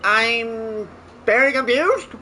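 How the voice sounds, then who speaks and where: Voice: medium pitch, lively intonation, slow pace, bright, forceful tone, a little hiss or hum. A gamer giving casual gameplay commentary, with exclamatory, muttered reactions and some swearing. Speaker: gamer reacting while playing.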